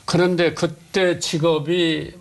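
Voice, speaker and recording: Older man interviewing, creaky higher voice. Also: level tone, slow. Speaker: host. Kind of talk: conversational interview.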